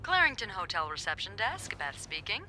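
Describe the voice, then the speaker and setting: A woman's voice: medium pitch, very animated, moderate pace, music in the background, natural voice. A woman doing a wry, teasing hotel receptionist. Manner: dry, teasing, knowing. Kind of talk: video game voice acting.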